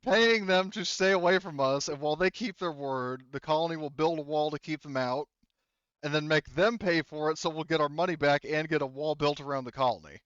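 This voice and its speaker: A man acting as game master, narrating casually in a halting, rambling way with frequent self-corrections. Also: fairly high pitch, a bit bright, clear, resonant tone, dry recording, lo-fi sound.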